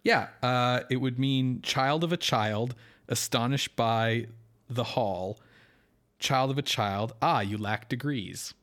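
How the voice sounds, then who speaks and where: A man co-hosting a film podcast, explaining things in a measured way as he moves between prepared notes and discussion. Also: medium pitch, fairly bright tone. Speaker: podcast co-host.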